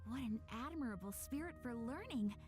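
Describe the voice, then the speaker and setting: A woman voicing a curious, friendly young woman in a video game, talking in a lively, inquisitive way. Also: a little high, brisk, over music, close to an everyday voice.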